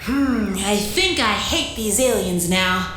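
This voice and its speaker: A woman's voice: medium pitch, expressive, takes it slowly, fairly bright tone. A woman voicing a violent-tempered metal lady in an audio drama, with a theatrical, threatening manner. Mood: irritated. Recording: echoey, music underneath.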